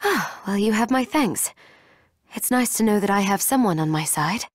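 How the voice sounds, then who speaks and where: A woman playing a resolute, composed young heroine in a video game, speaking in a measured, earnest way. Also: medium pitch, animated, dry recording, clean studio sound, lightly acted. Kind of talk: video game character acting. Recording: music behind the voice.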